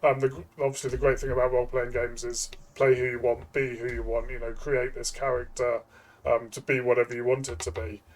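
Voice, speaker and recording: A man co-hosting a game review discussion, speaking casually in a thoughtful, halting way. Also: medium pitch, level tone. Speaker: co-host of a tabletop game review show.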